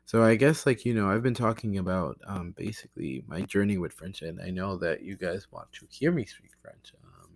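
An American man with a fairly low voice chats informally in a dry-sounding recording. He rambles and breaks off mid-thought, circling back with plenty of "like" and "you know" as he goes. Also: vocal fry, no echo. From United States. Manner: halting, self-interrupting, with many "like" and "you know" asides. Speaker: language learner vlogger. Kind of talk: casual rambling talk to camera.

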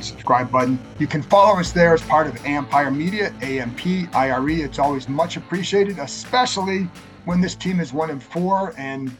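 Man, scratchy voice, slightly high pitch, casual sports podcast host, self-correcting. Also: slightly rough. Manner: conversational, self-correcting, many fillers. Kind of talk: solo sports podcast intro.

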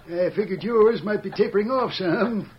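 A man's voice: sits high, moderate pace, close to an everyday voice. A male actor playing a genial, needling Old West sheriff in an American radio western, folksy and dryly teasing. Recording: muffled, hissy vintage radio recording.

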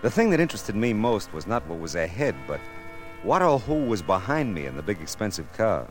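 Brief: low-pitched hard-boiled detective, wry and wary, noir radio narration, faint music underneath. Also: male voice, big pitch swings, a little fast. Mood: tense, watchful.

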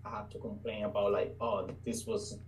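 Young man, hesitant, mellow voice, distant mic. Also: fairly low pitch, little pitch movement, moderate pace, slight background noise. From Puerto Rico. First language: Spanish. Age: 20s. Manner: hesitant, searching for words. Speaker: guest trader. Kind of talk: casual interview.

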